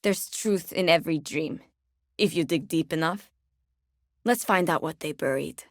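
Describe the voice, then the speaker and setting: A sleepless game agent who stalks people's nightmares. Her voice sits low, and she speaks with brooding, measured menace and a wry edge. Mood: ominous, sombre. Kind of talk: video game character voice lines.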